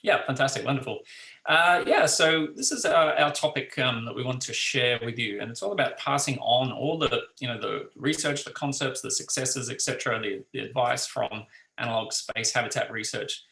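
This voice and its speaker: Male host, rambling intro, gravelly and bright, roomy echo. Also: mid-range voice, normal speed. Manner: rambling, filler-heavy. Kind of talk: introducing a talk. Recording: echoey room, distant mic.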